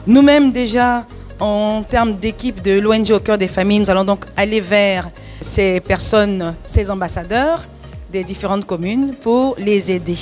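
A woman's voice: mid-range voice, measured pace, a little mellow, faint music behind. A woman giving an interview into a handheld mic in an indoor conference room, speaking in a composed, explanatory way with small hesitations. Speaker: NGO president and event organizer. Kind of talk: interview answer.

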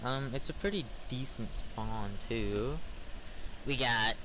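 A man's voice: medium pitch, slow pace, pushed and bright, slight background noise, low-quality recording. A teenage boy streaming a game, chatting casually and hesitantly with lots of ums. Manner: rambling, hesitant with many ums.

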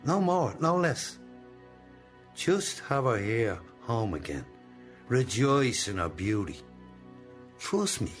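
An ageing Irish boss in a radio play. He coaxes deliberately, with a benign surface over controlling menace. Music sits faintly behind his medium-pitched voice. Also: sing-song. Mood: coaxing. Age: older. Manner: slow, deliberate, coaxing. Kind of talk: radio drama acting.

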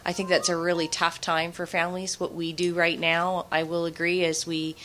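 Woman, 40s, low voice, chatty panel answer, desk mic, conference room. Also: completely flat delivery, moderate pace, a bit bright, a little hiss or hum. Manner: conversational, many restarts and fillers. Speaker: government representative on a panel. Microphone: desk microphone on stand.

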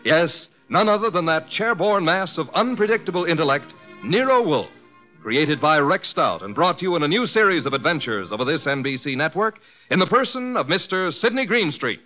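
Grandiose, animated old-time radio announcer man. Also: fairly high pitch, normal speed. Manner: grandiose, emphatic. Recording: muffled vintage radio broadcast with music.

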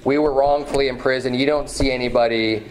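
A man in his thirties preaching a sermon from a pulpit, emphatic, repeating phrases and climbing in pitch when stirred. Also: fairly even intonation, fairly quick, a little hiss or hum, rough recording. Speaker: pastor. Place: small church hall with banner, indoors. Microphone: far camera, at a pulpit.

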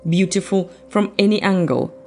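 A woman's voice: medium pitch, moderate pace, darkish tone, soft background music. A woman reading scripted narration as the narrator of a video.

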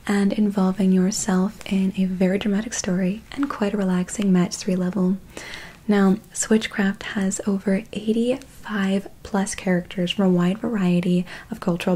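A young woman reads an ad in a hushed, breathy ASMR whisper. Her tone is very mellow and dark, delivered slowly and soothingly right up at the microphone. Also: medium pitch. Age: young adult. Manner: slow, soothing, deliberate. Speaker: ASMR creator reading a sponsor message. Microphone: close microphone, seated at camera.

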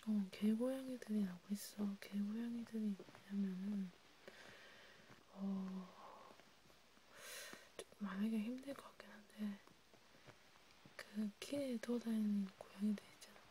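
A woman whispering breathily in an intimate, halting ASMR role play, talking informally as if to one listener. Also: medium pitch, low-quality recording. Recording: steady background noise with clicks.

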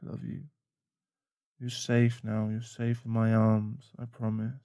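A man's voice: lowish voice, completely flat delivery, measured pace, mellow, dry recording, studio quality. A man playing a protective, tender werewolf boyfriend in an ASMR roleplay, speaking in a soothing, reassuring manner.

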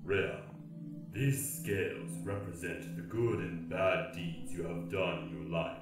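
A giant grim reaper speaks very low and slowly, with formal, deadpan patience. The solemn voice booms through heavy echo over music in a comic fantasy radio play. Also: male voice, distant mic. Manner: slow, formal, deliberate, deadpan.

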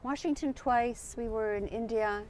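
A woman's voice: a little high, moderate pace, a little mellow, slight background noise. A woman in her fifties, born in Poland and raised in Canada, chatting as an interview guest outdoors at night on a lapel mic, friendly and full of restarts. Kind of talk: casual chat.

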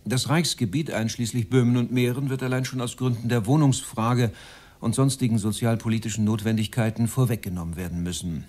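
An actor playing a cold, measured Nazi official addressing a meeting in a radio play. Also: male voice, medium pitch, steady tone, a little mellow, close to an everyday voice. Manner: measured, bureaucratic.

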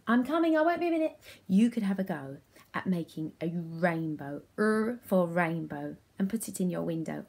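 A woman's voice: mid-range voice, creaky, dark tone, slightly rough. A British woman in her thirties leading an interactive phonics lesson for young children at home, encouraging and questioning, with exaggerated enunciation. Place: small room at home.

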